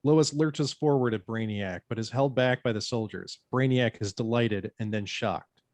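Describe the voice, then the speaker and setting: A man's voice: medium pitch, moderate pace, darkish tone, no room sound. Man narrating script directions, dry sound. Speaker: narrator reading stage directions. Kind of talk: scripted narration at a table read.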